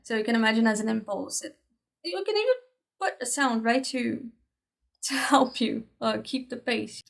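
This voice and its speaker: Woman, Portuguese native speaker, easygoing teacher tone, slightly high with gravelly fry. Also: moderate pace. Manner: relaxed, chatty, frequent "right?" check-ins and light laughs. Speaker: energy work teacher. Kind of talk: casual instructional talk to camera.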